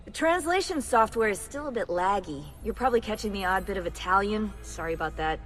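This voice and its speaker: A woman's voice: medium pitch, expressive, fairly quick, a bit bright, lightly acted. An actress playing a blunt, friendly, tech-savvy female assassin in a video game, talking casually with a teasing edge. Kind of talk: game cutscene dialogue. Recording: music under the dialogue. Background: music.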